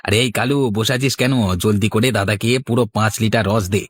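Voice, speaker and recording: A man's voice: on the low side, fairly even intonation, fast talker, breathy edge. A man voicing a bossy, crafty swindling market vendor in a cartoon, in a brash, scolding manner. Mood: slick, commanding. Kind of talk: cartoon voice acting.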